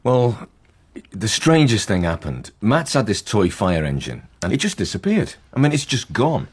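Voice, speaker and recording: An actor playing a polite, bothered policeman and family man, husky and hesitant, in a radio drama. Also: on the low side, huge pitch swings, normal speed, heavily acted. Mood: awkward, baffled.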